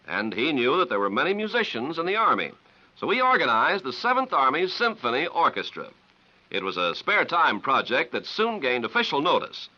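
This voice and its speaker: A man delivering formal, declamatory scripted announcements as a 1950s radio announcer. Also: fairly high pitch. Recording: dull vintage 1950s radio recording.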